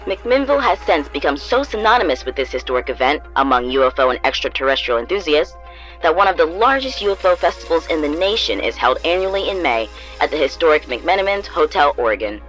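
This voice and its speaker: A man's voice: a little high, sing-song, fast, fairly bright tone, faint music behind, rough recording. A man playing a polished, theatrical TV news anchor, reading scripted newscast stories in an audio drama. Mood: wry, dramatic.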